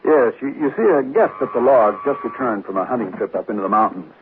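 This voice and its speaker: An actor playing a polite, probing sheriff in a 1940s radio drama, measured and slightly hesitant. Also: male voice, medium pitch, lively intonation, close to an everyday voice. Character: courteous, probing small-town sheriff. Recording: old radio, narrow band, faint noise.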